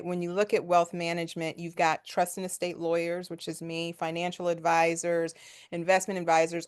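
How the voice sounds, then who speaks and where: A woman's voice: lowish voice, clean tone. A woman, a tax attorney from the United States, speaking as a guest in a webinar over a video call in a confident, conversational way. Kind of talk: webinar presentation.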